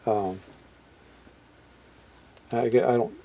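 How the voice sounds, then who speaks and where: A man with a mellow, relaxed voice at a middling pitch talks through a hobby walkthrough. He is unscripted and halting, musing aloud as he goes. Sentences often trail off before he picks up a new thought. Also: rough recording. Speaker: hobbyist video presenter. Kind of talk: casual unscripted product walkthrough.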